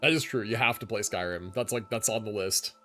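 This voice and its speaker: A man's voice: lowish voice, a little fast, sharp tone. A young man livestreaming a game, chatting casually and irreverently with swearing as he answers his chat. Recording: game music behind the voice.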